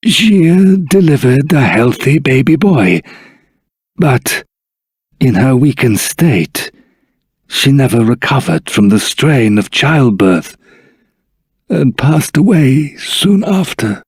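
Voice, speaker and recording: Doddering old fantasy-game grandfather, heavily rasping voice, hugely expressive swoops, formal solemn storyteller, dramatic pauses. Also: medium pitch, no echo, studio quality. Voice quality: husky, raspy.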